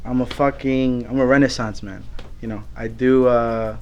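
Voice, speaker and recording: A man's voice: mid-range voice, steady tone, normal speed, highs cut off. Laid-back young man, casual and profane. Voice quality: laid-back, swears often, says "bro" and "like". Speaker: artist. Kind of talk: casual documentary interview.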